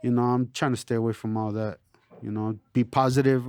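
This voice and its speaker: A man born in Chicago and raised in El Paso, a rapper talking casually in an interview, relaxed and streetwise, always saying "you know". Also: medium pitch, a little fast, clear, resonant tone, dry recording. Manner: laid-back, streetwise, lots of "you know". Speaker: local rapper, interview guest.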